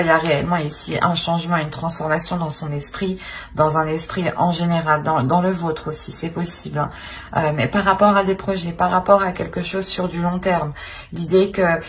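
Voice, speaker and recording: A woman's voice: lowish voice, slight background noise. A woman giving an improvised tarot reading to viewers, speaking hesitantly and often repeating and rephrasing as she searches for words. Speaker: tarot reader, channel host.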